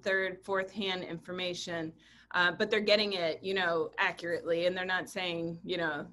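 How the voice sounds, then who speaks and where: A woman's voice: mid-range voice, sharp tone, a little hiss or hum. A woman from Puerto Rico speaking earnestly on a video call panel, with frequent hesitant fillers.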